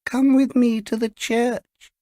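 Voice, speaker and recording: A man's voice: very high-pitched, little pitch movement, mellow, dry recording, pitched above the performer's own voice. Man putting on a thin, piping, eerie old woman. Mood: eerie, beckoning. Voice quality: thin, upward-straining piping voice. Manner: slow, drawn-out delivery.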